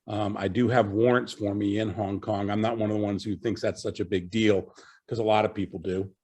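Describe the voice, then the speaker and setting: Low-voiced man chatting on a video call. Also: brisk, husky. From Virginia, USA. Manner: relaxed, rambling with many fillers. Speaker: panelist. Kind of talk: casual self-introduction on a video call.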